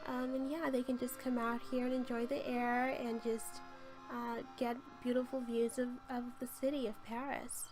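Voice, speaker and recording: A woman's voice, rough and hoarse from a cold, narrates a relaxed game-build tour. She sits a little high and stays flat in pitch, gushing through her ums. Music plays clearly beneath her. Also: normal speed, low-quality recording. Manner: gushing, chatty, with frequent ums. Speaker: game channel host. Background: light background music.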